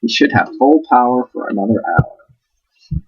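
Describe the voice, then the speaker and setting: A man's voice: mid-range voice, animated, a little slow, breathy edge, muffled recording. A man voicing a modest, matter-of-fact mechanic and inventor from a storybook.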